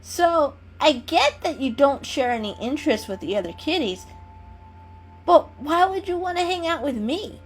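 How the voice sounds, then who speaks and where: A woman's voice: sits high, very animated, moderate pace, soft background music, voice pushed up. A woman voicing a teasing, mischievous gray male cat in a storybook, with a casual, cheeky manner. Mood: teasing, curious.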